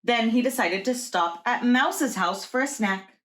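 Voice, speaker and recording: A woman's voice: fairly high pitch, a little slow, fairly bright tone. A woman reading a picture book aloud to young children as a preschool teacher.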